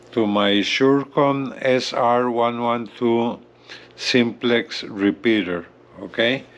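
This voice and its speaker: Male product reviewer, deep-ish voice, unhurried and halting, casual hands-on walkthrough. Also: dull audio. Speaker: gadget reviewer presenting a CB radio.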